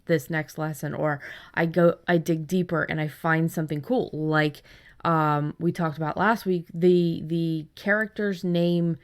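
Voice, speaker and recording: Lowish-voiced woman in casual podcast chat. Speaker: podcast co-host discussing writing.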